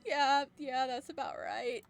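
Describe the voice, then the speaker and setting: A woman with a fairly high voice plays through a romance visual novel, reading slowly and breaking off into playful, giggly asides. Quiet game music runs in the background. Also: fairly even intonation, vocal fry. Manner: giggly, playful reactions between read lines. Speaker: let's play host.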